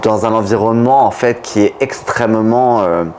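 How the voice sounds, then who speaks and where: A man's voice: lowish voice, fairly even intonation, normal speed, a little mellow, a little hiss or hum. A young man speaking as an interview guest in a conversational way, often rephrasing himself as he explains.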